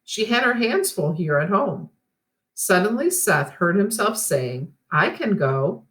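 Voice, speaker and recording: Woman reading expressively to children, lively pitch. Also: measured pace, reverberant. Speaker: teacher reading to pupils. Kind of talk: read-aloud storybook narration for children.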